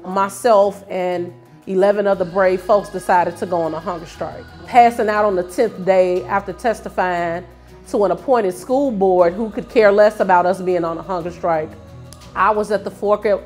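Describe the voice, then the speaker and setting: A woman's voice: medium pitch, a little mellow. A middle-aged woman with an American accent telling her personal story as a political candidate. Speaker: city council candidate. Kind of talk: personal testimony in a campaign video.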